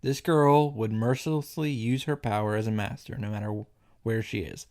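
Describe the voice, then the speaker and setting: A man's voice: lowish voice, fairly even intonation, normal speed, darkish tone. A man reading a visual novel aloud and reacting casually, often restarting and repeating himself as he goes.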